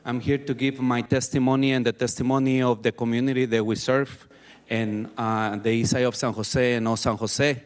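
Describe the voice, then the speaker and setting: A man, giving earnest personal testimony at a community meeting with pauses between phrases. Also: mid-range voice, level tone. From Mexico City. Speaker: community advocate.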